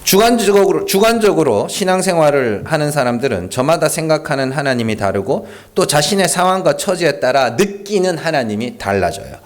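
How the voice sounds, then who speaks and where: A man preaching to his congregation at a Friday prayer service, pitched a bit high with a swooping, lively delivery. He talks to them conversationally, pressing points home emphatically and peppering the sermon with rhetorical questions. He sounds engaged and insistent throughout. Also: brisk, few pauses. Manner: emphatic and animated. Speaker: pastor. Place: church sanctuary.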